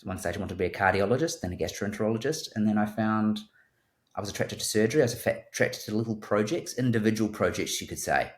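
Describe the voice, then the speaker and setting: A man's voice: fairly low pitch, fast talker. A man with a New Zealand accent, a guest surgeon speaking in a thoughtful conversational interview and often repeating words as he starts a sentence. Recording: roomy echo.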